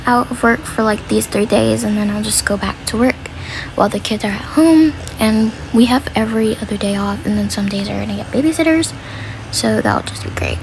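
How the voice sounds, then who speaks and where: A woman's voice: on the high side, brisk, creaky. A woman voicing a tired, grudging mother in a casual, hesitant way for a homemade family role play. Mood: weary, resigned. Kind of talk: amateur doll-style family role play. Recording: noisy home recording.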